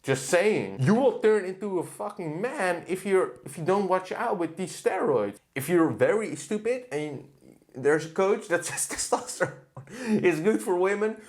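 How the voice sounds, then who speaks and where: A man's voice: high-pitched, animated, measured pace. Blunt, profane male fitness coach. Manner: blunt, profane, ranting with laughs. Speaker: fitness coach reacting to a clip. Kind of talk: casual reaction commentary.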